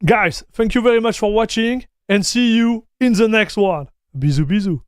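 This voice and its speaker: Male streamer, high voice, smooth and dry, casual chat. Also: moderate pace, no room sound. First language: French. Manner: chatty, laughs often, talks straight to chat. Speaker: streamer reacting to tech news.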